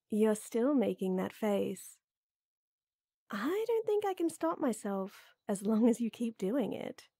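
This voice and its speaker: A woman playing a tall, tender, teasing lady knight in an intimate roleplay audio, coaxing softly. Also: on the high side, sing-song, takes it slowly, pauses often, dry recording, clean studio sound, heavily acted. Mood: flirty, teasing. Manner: soft, teasing, coaxing, with breathy near-whispers.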